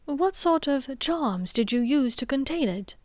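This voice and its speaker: A woman reading the part of a thoughtful, curious male wizard, speaking calmly and inquiringly. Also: sits high, measured pace, no room sound, voice pushed up.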